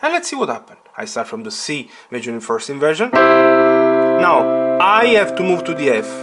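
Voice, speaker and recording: Male piano teacher, fairly high voice, very animated intonation, emphatic step-by-step explaining, piano chords behind. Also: moderate pace. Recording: piano playing alongside the voice.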